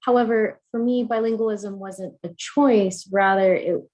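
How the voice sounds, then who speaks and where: A woman from the United States giving an academic conference talk, measured and earnest, with frequent ums and uhs. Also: mid-range voice, steady tone, a little mellow. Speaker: conference speaker.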